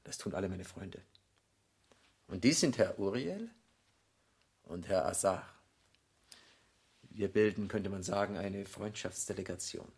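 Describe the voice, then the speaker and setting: A gentlemanly devil for radio drama. His voice is rather low and husky, and he talks with ceremonious politeness, like a smooth dealmaker. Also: a little slow, pauses often, exaggerated voice. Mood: courteous, suave. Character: suave, courteous male devil posing as a foreign gentleman. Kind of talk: German radio drama acting.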